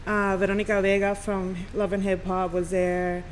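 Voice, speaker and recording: A woman's voice: mid-range voice, flat delivery, normal speed, slight background noise. Native Spanish-speaking woman, casual radio guest. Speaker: radio presenter, podcast guest. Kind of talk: casual chat.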